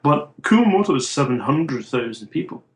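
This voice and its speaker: A man's voice: mid-range voice, very animated, moderate pace. A Scottish man from Scotland, a comic book writer being interviewed over an internet call, with dry, wry humor. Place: home in a city in Japan.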